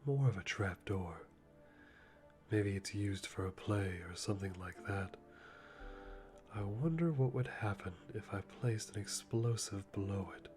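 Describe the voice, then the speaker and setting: A man playing a calm, methodical hitman, musing to himself softly and deliberately with dry amusement in an ASMR roleplay. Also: on the low side, close to an everyday voice. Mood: calm, calculating. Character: calm, methodical male hitman planning a kill. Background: music.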